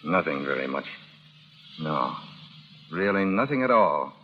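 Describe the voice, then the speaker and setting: A proud, wry rancher with slangy tough talk and widely moving pitch. It sounds like a dull 1930s radio recording with faint noise. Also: male voice, very animated, unhurried, exaggerated voice. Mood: bitter, wry. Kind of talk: 1930s radio drama acting.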